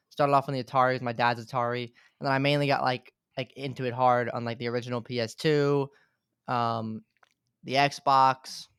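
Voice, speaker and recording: A man in his twenties, a guest on a podcast, talking in a relaxed way with lots of filler words. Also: mid-range voice, clean tone, dry recording, clean studio sound. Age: 20s. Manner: relaxed, fills talk with "like". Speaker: podcast guest, gaming content creator. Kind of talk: casual interview chat.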